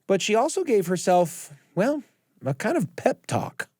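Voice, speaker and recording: Animated male storyteller narrating. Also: high-pitched, unhurried, no room sound, studio quality. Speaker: host narrator of a storytelling show.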